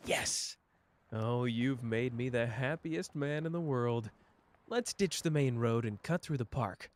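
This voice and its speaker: A man doing a slow, flirtatious charmer. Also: medium pitch, lightly acted.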